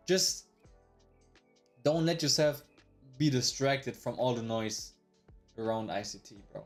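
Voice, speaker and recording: A man in his early twenties talking casually on a live stream, slangy and rambling, with plenty of swearing and "bro". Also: mid-range voice. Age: 20s. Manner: slangy, swears often, says "bro" a lot; rambling with restarts, replays his past thoughts aloud. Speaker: day trader live-streaming.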